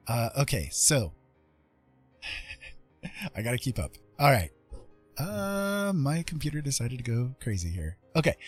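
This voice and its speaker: A man hosting a casual art livestream, talking in a friendly, welcoming, rambling way. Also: mid-range voice. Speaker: livestream host, digital artist. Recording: music playing behind the voice. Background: music.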